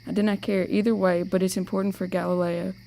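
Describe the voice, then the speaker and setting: Young woman as a creaky-voiced bossy big sister. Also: lowish voice, flat delivery, fast, mellow, rough recording. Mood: coaxing. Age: teenager or young adult. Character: bossy, playful, protective older sister. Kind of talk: amateur radio play acting.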